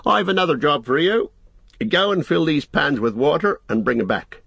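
A man's voice: fairly high pitch, very animated, moderate pace, creaky, fairly bright tone, slightly rough, no room sound, pitched above the performer's own voice, exaggerated voice. A man voicing a plain-spoken, bossy but loyal hobbit in an audiobook, with blunt, homely, rustic speech. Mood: bossy, brisk.

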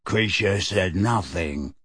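A man voicing a bitter, servile old male house elf who mutters in a hoarse, deep croak. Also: a bit bright, no room sound, studio quality, exaggerated voice. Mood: bitter muttering. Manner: slow grumbling undertone.

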